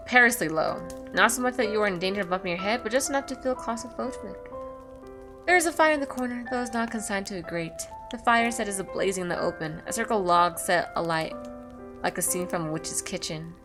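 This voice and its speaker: A woman reading a visual novel aloud as a gaming YouTuber, with casual, blunt, sweary asides. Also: mid-range voice. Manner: blunt, sweary reactions between read passages. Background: music.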